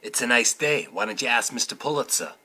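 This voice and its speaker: Smug, sneering clerk, male actor, piercingly bright tone, very animated pitch, audio drama villain. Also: a little fast, breathy edge, heavily acted. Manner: taunting.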